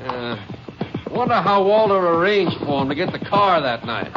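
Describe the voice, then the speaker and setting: An actor playing a plain-spoken small-town Texas sheriff in a radio drama, with a folksy, laconic manner. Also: male voice, high-pitched, lively intonation, normal speed. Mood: curious, raised voice. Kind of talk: 1950s radio drama acting. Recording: noisy, muffled 1950s radio recording.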